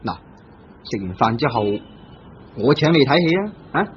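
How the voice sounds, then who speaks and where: An actor playing the husband's nervous cousin in a vintage radio drama, speaking in a hesitant, anxious way. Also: male voice, mid-range voice, expressive, moderate pace, natural voice. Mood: coaxing. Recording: dull lo-fi old radio recording with faint hiss.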